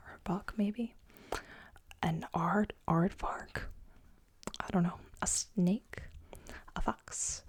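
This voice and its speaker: A woman's voice: mid-range voice, breathy edge. A young woman whispering children's books aloud as ASMR, with amused asides. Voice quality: soft whisper. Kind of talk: ASMR storybook reading.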